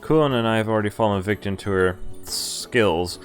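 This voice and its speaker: A man's voice: on the low side, normal speed. A man live-streaming a visual novel, reading it aloud and breaking into informal, sweary reactions. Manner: informal, sweary asides, stumbles over read lines. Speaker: game streamer. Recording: game music behind the voice. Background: visual novel music and voiced characters.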